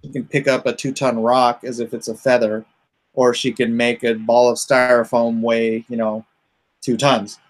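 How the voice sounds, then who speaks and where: A man in a casual unscripted chat, explaining his creative project in a halting way as he searches for words. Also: medium pitch, little pitch movement.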